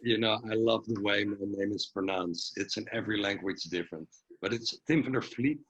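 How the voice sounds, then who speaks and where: Dutch man guiding slow breathing. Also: fairly low pitch, a bit bright, dull audio. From Netherlands. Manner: friendly, laughs at himself, gives slow breathing cues. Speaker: breathwork and cold exposure coach, webinar speaker. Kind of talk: guided breathing instruction in a webinar. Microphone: video call.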